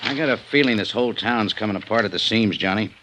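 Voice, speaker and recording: An actor playing a wary, loyal helper in 1950s radio drama style, concerned and cautioning. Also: male voice, lowish voice, fast talker, noisy background, close to an everyday voice. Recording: dull old radio transcription.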